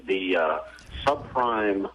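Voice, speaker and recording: A man's voice: low voice, lively intonation, extremely slow, highs cut off. A man co-hosting a radio talk show, speaking in a conversational, skeptical way with many hesitations. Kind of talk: casual radio chat. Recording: AM radio broadcast.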